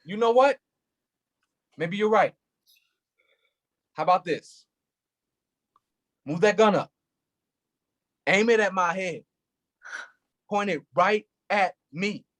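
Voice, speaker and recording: A man's voice: high-pitched, slow, pauses often, a bit bright. An actor playing an anguished, furious police officer who is grieving his cousin, in a staged play reading with an intense, impassioned delivery. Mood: cold.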